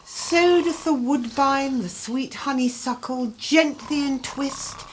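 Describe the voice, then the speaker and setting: Woman as regal fairy queen, radio Shakespeare: high voice, unhurried ornate verse, noticeable background noise. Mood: doting. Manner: theatrical, ornate verse delivery. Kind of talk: Shakespearean radio play acting.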